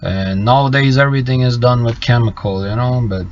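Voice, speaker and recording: A middle-aged man chats casually on a live stream from his parked truck, his voice at an ordinary middle pitch. He talks in halting stretches, restarting sentences and reaching for words. The sound is slightly muffled, with faint noise underneath. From Bolivia. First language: Low German. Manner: halting, many fillers and restarts. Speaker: trucker vlogger reading live chat.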